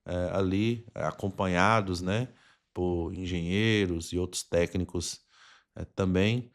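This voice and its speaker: A Brazilian man hosts a podcast in a deep voice. He speaks in formal, wordy sentences, setting things out as explanatory monologue. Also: fairly even intonation, slow. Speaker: podcast host.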